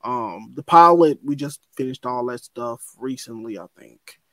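A young adult man, a voice actor, talking in an animated, excitable way with stammered restarts in a casual podcast chat. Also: mid-range voice, unhurried, no echo. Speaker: voice actor guest.

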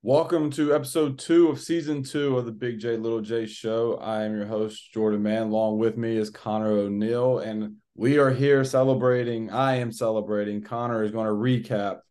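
A hoarse man in his twenties hosting a casual sports podcast, talking in an excited, rambling way. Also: medium pitch, normal speed, few pauses. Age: 20s. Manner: excited, rambling fan talk. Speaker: podcast host.

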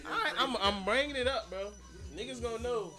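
A man's voice: extremely high pitch, a little fast, over music. A man around thirty hosting a casual group podcast, talking in slangy, profanity-laced everyday speech. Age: 30s. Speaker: podcast host.

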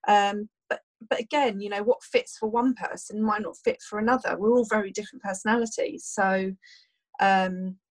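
A woman's voice: mid-range voice, fairly even intonation, moderate pace, low-quality recording. A woman, a doula, answering podcast questions casually with many fillers and restarts while losing her voice. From United Kingdom.

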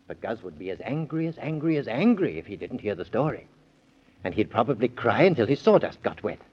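An actor playing a gentle, playful father telling a bedtime story in 1930s radio style, indulgent and dramatic. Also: mid-range voice, very animated, a little fast, slightly rough. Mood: gently indulgent. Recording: dull old 1930s radio recording.

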